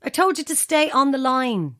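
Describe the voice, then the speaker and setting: An actress with an Irish accent playing a bossy, exasperated radio producer, brisk and commanding, in an audio drama. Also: female voice, high-pitched, animated, a bit bright, no room sound, clean studio sound. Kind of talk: naturalistic audio drama acting.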